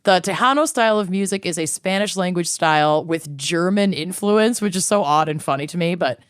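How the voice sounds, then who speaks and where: A woman's voice: fairly low pitch, a little fast, clear, resonant tone, no echo, clean studio sound. A woman co-hosting a podcast, telling a story in a casual chatty way, swearing freely and saying "like" often. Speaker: podcast co-host.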